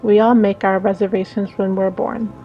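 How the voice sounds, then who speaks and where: A woman's voice: mid-range voice, flat delivery, normal speed. Woman reading a visual novel, muffled recording. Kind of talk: dramatic read-aloud of a visual novel. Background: background music.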